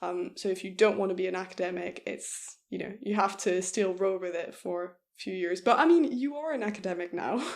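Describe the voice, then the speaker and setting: A woman hosting a casual video call interview, asking short, relaxed questions and backing the guest up. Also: on the low side, brisk, few pauses. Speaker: interviewer, host.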